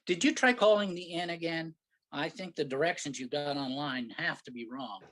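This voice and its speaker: A man's voice: on the high side, moderate pace, fairly bright tone, close to an everyday voice. A man playing a sarcastic, grumbling, exasperated husband in a staged reading of a comic play. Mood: impatient. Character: sarcastic, exasperated husband driving lost in the country.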